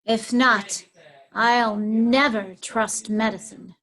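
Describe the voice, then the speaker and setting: High, scornful princess Goneril played by a woman. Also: sing-song, slow pace, full character voice, poor audio. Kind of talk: Shakespearean reader's theatre.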